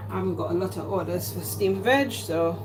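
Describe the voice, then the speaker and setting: A woman's voice: lowish voice, fairly quick, noisy background. A woman with a Jamaican accent, casually talking through her cooking steps in a home kitchen. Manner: chatty, talks herself through each step. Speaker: home cook vlogger. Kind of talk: casual cooking vlog talk. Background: clatter of dishes and cutlery.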